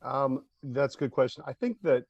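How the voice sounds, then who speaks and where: A man's voice: mid-range voice, moderate pace, darkish tone, dry recording. A man who writes and directs, giving casual, reflective interview answers on slightly dull-sounding audio. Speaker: playwright and director.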